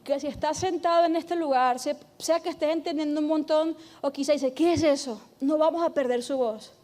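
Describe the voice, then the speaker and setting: A woman pastor preaching a sermon, emphatic and loud, calling on the congregation to repeat after her. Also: sits high, steady tone, normal speed. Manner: shouting, emphatic preaching with call-and-response.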